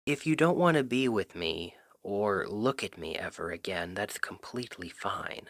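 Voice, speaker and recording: A man acting a shy, earnest best friend in an intimate ASMR roleplay, speaking softly and haltingly. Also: fairly low pitch, expressive, no echo, clean studio sound. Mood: earnest, selfless. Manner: hesitant, halting, soft-spoken. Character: shy, earnest male Cupid best friend confessing love.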